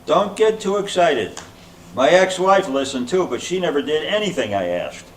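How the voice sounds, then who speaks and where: A man's voice: a little high, big pitch swings, normal speed. A man playing a grumpy, suspicious skeptic in amateur readers theater, complaining with dry sarcasm. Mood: grumbling, sarcastic. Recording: echoey room from far off, faint noise.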